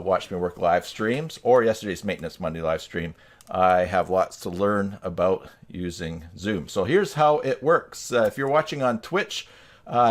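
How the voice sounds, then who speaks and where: A man with a fairly low voice hosts a tutorial live stream. He talks casually into a headset mic, wandering from point to point in a folksy, jokey way. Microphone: headset microphone.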